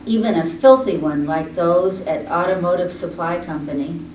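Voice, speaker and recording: Slow woman reading aloud in an echoey room. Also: on the low side, darkish tone. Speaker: reading group participant. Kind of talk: slow poetry read-aloud. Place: indoor room at a senior center. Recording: echoey room, distant mic, faint noise.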